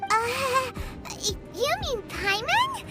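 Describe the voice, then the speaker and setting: A woman voicing a tiny, chirpy, cheeky girl sidekick with a squeaky voice in a video game. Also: big pitch swings, drawn-out words, full character voice. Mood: taken aback. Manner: squeaky, refers to self in third person. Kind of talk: scripted video game voice acting. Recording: music loud under the voice.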